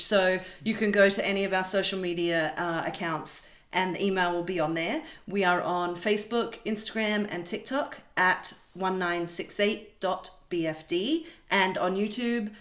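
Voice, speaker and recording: A woman's voice: mid-range voice, fairly bright tone. Echoey woman co-hosting a podcast. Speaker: podcast co-host and producer. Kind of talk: casual podcast sign-off, listing contacts. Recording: echoey room.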